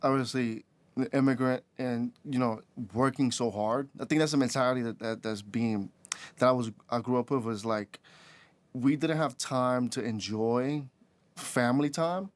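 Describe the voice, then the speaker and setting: A man in his twenties from the Dominican Republic, a podcast guest who tells his story in a halting way with many restarts. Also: mid-range voice, dry recording. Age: 20s. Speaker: podcast guest, rideshare driver. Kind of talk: casual interview chat.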